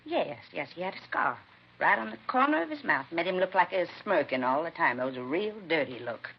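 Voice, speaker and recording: A woman's voice: on the low side, sing-song, a little fast, gravelly. An actress playing a chatty, fretful woman who rents out rooms, talking in a folksy, rambling way in an old radio crime drama. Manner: folksy, rambling, homespun speech. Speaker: witness. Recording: muffled vintage radio broadcast with faint hiss.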